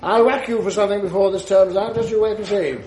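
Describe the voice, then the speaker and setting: Through faint noise comes a man acting a brisk, middle-aged English schoolmaster in a radio drama. His voice sits a little high, with clipped, old-fashioned phrasing and a teasing, blustering authority. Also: fairly even intonation, a little mellow, lightly acted. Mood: mock-stern. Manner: clipped, bantering, mock-stern, old-fashioned.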